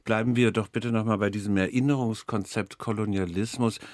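A man's voice: fairly low pitch, fairly even intonation, fairly quick, no echo. A man hosting a radio interview, asking direct, probing questions. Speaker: radio host.

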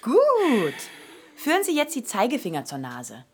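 An actress playing a brisk, no-nonsense emergency doctor in a radio drama, giving direct instructions in a husky voice. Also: female voice, a little high, huge pitch swings, a little mellow, a little hiss or hum, exaggerated voice. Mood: instructing.

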